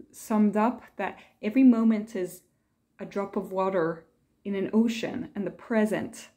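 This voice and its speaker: A woman's voice: mid-range voice, a little mellow. Hesitant, musing woman vlogger. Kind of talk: casual reflective monologue, reading quotes aloud.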